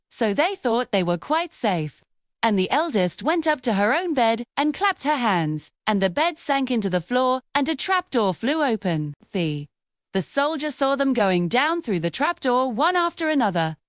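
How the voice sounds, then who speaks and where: A woman narrates a scripted fairy tale. Her voice is high and melodically varied. The sound is dry, with no room around it. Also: dry recording. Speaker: storyteller.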